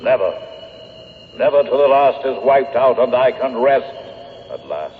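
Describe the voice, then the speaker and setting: Man playing merciless damned spirit, slow menacing delivery, long echoing reverb, old radio horror. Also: mid-range voice, slight background noise, close to an everyday voice. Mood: cold menace. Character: vengeful damned male ghost, merciless, haunting a family. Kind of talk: 1970s radio drama acting. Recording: muffled phone-like sound, long cavernous reverb.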